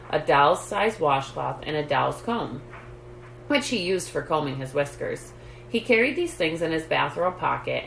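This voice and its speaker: Storytime reader: woman, low register with fry, measured children's-book delivery, echoey room. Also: fairly bright tone. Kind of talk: reading a children's book aloud. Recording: roomy echo, light background noise.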